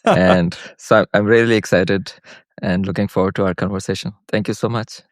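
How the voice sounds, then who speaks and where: A man from Pakistan, a podcast guest, telling stories in a halting, earnest way with many restarts. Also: fairly low pitch, fairly even intonation, no room sound, clean studio sound. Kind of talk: casual conversational storytelling.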